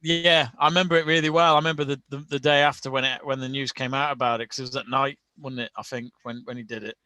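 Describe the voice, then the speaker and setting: A man co-hosting a live football watch-along, talking casually with frequent restarts and quick laughs. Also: medium pitch, little pitch movement, sharp tone, no room sound. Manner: chatty, many restarts and laughs. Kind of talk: casual live-stream chat.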